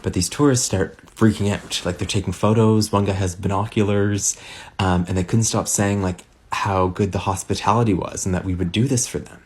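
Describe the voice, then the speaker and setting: A man's voice: fairly low pitch, fairly even intonation, normal speed, husky. A man from Canada telling a wry, laid-back story to camera.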